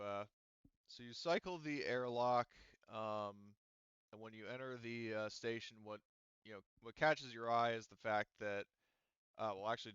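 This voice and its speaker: A man with a low, smooth voice runs a tabletop roleplaying game, describing scenes and rules with frequent ums and restarts. The recording is dry and somewhat dull, from a home mic. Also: fairly bright tone, no room sound. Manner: hesitant, filler-heavy explaining. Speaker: game master.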